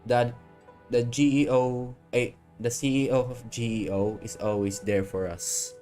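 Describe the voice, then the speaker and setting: A man's voice: medium pitch, flat delivery, measured pace, dark tone. A man livestreaming a video game, giving casual commentary and reacting to the story as it plays. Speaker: game streamer. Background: game music.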